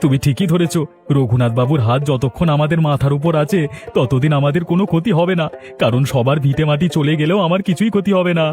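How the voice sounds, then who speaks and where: A man's voice: on the high side, quick pace, darkish tone, soft background music, close to an everyday voice. A man playing a boastful, self-serving landlord's henchman chatting at home in a cartoon, sounding smug. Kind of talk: Bengali cartoon dialogue acting.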